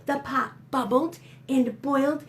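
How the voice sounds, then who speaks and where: A woman reading a picture book aloud to young children as a librarian, expressive and gently addressing her listeners with dramatic gasps and whispered asides. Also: high-pitched, unhurried.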